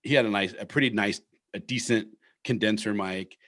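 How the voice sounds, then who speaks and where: A man with a deep voice hosting a casual podcast chat, animated and swearing freely, often repeating words as he gets going. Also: moderate pace, no echo, clean studio sound. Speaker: podcast host. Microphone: studio podcast mic.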